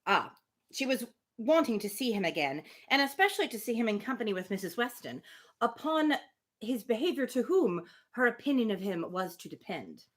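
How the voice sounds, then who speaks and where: A woman reading a classic novel aloud on a livestream, with expressive phrasing and casual spoken asides. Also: on the high side, a little fast. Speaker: livestream book reader.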